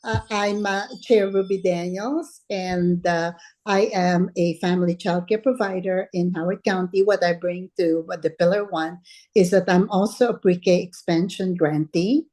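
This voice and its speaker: Woman committee chair, childcare provider, video call, lowish voice, warm tone, casual. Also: steady tone, a little slow. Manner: casual, with frequent filler sounds. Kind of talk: self-introduction at a video meeting.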